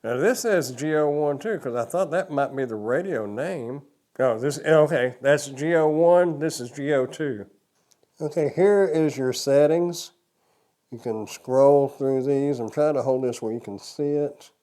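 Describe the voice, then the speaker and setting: A man's voice: medium pitch, unhurried, warm tone. An older man reviewing a product hands-on in a casual, plain-spoken way, explaining things step by step.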